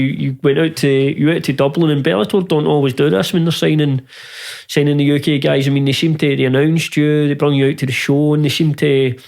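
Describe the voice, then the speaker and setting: Flat, gravelly man. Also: on the high side. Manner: long rambling questions with restarts. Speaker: podcast host. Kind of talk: casual interview questions.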